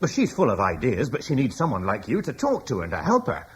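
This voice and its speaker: A blunt British war chief. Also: male voice, brisk, slight background noise. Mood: curious. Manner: blunt and plain-spoken, lively pitch. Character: proud battle-hardened British war leader, blunt. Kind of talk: radio drama acting.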